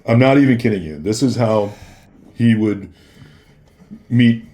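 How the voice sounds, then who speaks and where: Male podcast guest, low voice, casual storyteller with a halting, backtracking delivery. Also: warm tone. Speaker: podcast guest, former security worker and DJ.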